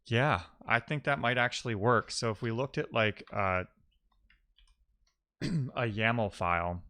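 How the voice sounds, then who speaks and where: A man who streams live coding, chatting casually and musing out loud in loose fragments as he works. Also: medium pitch, a little slow. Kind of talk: casual thinking-aloud coding stream chat.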